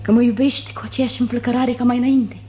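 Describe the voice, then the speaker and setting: A woman's voice: a little high, level tone, mellow, close to an everyday voice. An actress playing a proud, wounded noblewoman, formal, declamatory and reproachful, in 1950s radio theatre. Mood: scornful.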